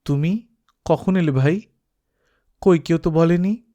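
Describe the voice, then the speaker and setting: A man's voice: on the high side, takes it slowly, warm tone, voice pushed up. A man voicing a caring, insistent village woman in an audiobook, chatty and homely like an elder sister. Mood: surprised.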